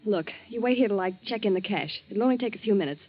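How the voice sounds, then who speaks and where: A woman's voice: mid-range voice, animated, quick pace, natural voice. An old, muffled radio drama recording features a young box office girl, played by a woman. She speaks in an ordinary mid-range voice, earnest and devoted, with fussing, scolding concern. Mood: alarmed, worried. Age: young adult. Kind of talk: 1940s radio acting.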